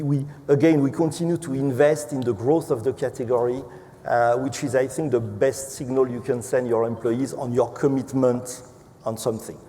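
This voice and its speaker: A man from France with a French accent speaking as an executive on a panel, halting and hesitant, often repeating words. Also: mid-range voice, warm tone. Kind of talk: unscripted panel remarks. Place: large event hall. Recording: echoey hall with clear background noise.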